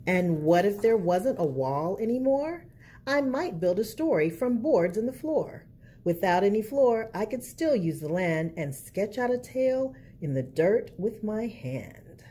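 Lively, mellow woman reading a storybook aloud. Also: medium pitch, measured pace, slightly rough. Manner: expressive storytelling for children. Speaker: children's librarian storyteller. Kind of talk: read-aloud picture book narration. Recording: faint background noise.

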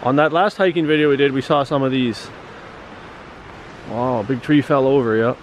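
A man's voice: medium pitch, moderate pace, low-quality recording. A man filming himself on a forest hike, chatting casually and chuckling as he walks by a lake. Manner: relaxed, chatty, chuckles often. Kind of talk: casual narration while walking. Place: outdoors on a windy forest trail by a lake. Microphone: handheld camera. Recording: windy outdoor camera audio. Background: wind and lake waves.

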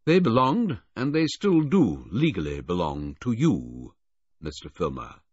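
A man voicing an older military brigadier who speaks in clipped, formal pronouncements, higher than his own voice. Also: very animated, measured pace, darkish tone, breathy edge, dry recording, full character voice. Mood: stern. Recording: dull lo-fi, dry close audio.

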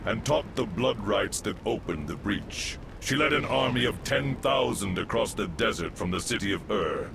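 A man's voice: fairly low pitch, expressive, normal speed, gravelly, few pauses, noisy background. A man playing an ancient priest spirit in a video game cutscene, solemn and grave, speaking in formal oratory.

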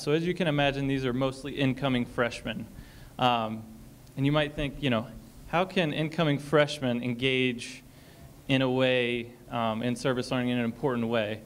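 A man speaking on a college panel in an auditorium, explaining a program with frequent ums and reading a short passage aloud. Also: medium pitch. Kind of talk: panel discussion talk.

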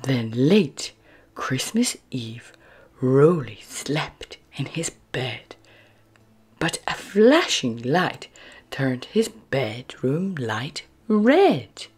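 A woman reading a children's storybook aloud as narrator, expressive, moving between hushed phrases and emphatic words. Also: extremely low pitch, very slow, breathy edge. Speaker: storybook narrator and channel host.

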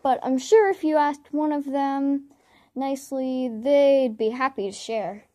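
A woman's voice: high voice, measured pace, dark tone. A woman voicing a male warrior cat in an amateur cartoon audition. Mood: earnest. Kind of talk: amateur voice audition line reading.

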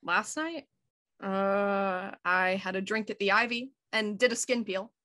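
A woman's voice: mid-range voice, measured pace, sharp tone, no echo, studio quality. A woman playing a cool, offhand, trendy city woman in an audio drama, blase and clipped. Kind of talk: naturalistic audio drama read-through.

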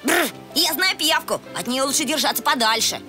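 A woman's voice: sits high, animated, moderate pace, music in the background. A woman playing a plucky little insect boy in a cartoon, matter-of-fact with exclamations. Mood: wary.

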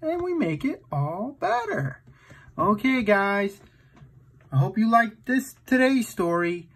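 Animated man reading a children's storybook. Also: high voice, slow, slightly rough. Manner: playful, exaggerated, asks the listener questions. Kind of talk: animated read-aloud picture book storytime for young children.

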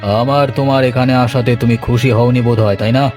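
Man as a cartoon occult holy man, medium pitch, stern, sly, ominous, music faintly behind. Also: steady tone, a little fast, darkish tone, close to an everyday voice. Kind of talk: horror cartoon voice acting.

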